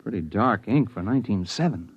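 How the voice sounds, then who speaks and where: Earnest, brooding American actor-sleuth for vintage radio drama; low-pitched, insistent, dull old broadcast sound. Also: male voice, expressive, a little fast, breathy edge, no room sound. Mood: probing. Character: earnest, stubborn bald male stage actor turned amateur sleuth. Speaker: first-person narrator and lead character. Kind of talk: 1950s radio drama acting.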